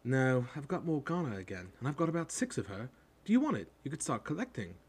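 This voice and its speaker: A man's voice: mid-range voice, very animated, quick pace, slightly rough, voice pushed up. A man voicing a blunt, chatty young schoolboy with a casual, matter-of-fact manner in a story. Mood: amazed, chatty.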